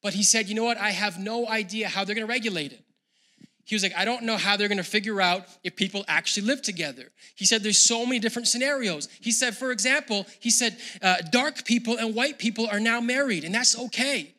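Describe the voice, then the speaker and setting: A male pastor with a high, very bright and forceful voice delivers a sermon. He speaks in a relaxed, conversational way to viewers at home, then builds to loud, emphatic preaching. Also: fast talker.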